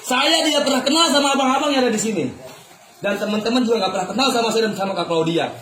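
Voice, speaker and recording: High-voiced man hosting in a loud, echoey room. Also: fast talker. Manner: rallying, addresses audience as friends. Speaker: host of a business seminar. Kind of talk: motivational seminar hosting. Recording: echoey hall, loud noise and crowd. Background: loud crowd.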